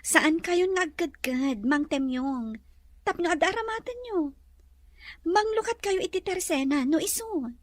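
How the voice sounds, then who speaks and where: A woman playing a kind, gracious lady of a mansion in a Filipino audio drama, speaking gently and earnestly with a Filipino accent. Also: very high voice, expressive, measured pace, no echo, studio quality. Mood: kind, insistent.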